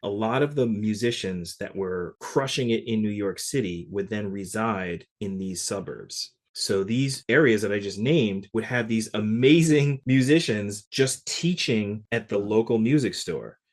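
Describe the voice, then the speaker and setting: A fairly low-pitched man from Connecticut, speaking as a podcast guest. He talks loosely and conversationally, sprinkling his stories with casual fillers. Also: measured pace, few pauses. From Connecticut, USA. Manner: relaxed, conversational, many "like" fillers. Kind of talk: casual interview storytelling.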